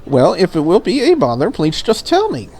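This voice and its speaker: A man's voice: high-pitched, huge pitch swings, moderate pace, a little mellow, heavily acted. A man voicing a polite, curious, slightly lonely maid in a visual novel, with formal and prim phrasing. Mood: gently chiding.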